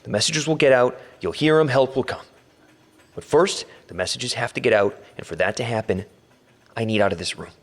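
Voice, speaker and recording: A man playing a frightened but wry kidnap victim in an audio drama, recording halting messages for help. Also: mid-range voice, animated, close to an everyday voice. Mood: afraid, hopeful. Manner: halting, self-correcting, wry.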